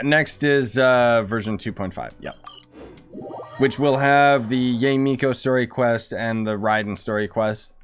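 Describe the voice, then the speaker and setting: A young man giving offhand, slangy live gameplay commentary while chatting to his viewers. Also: mid-range voice. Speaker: game streamer.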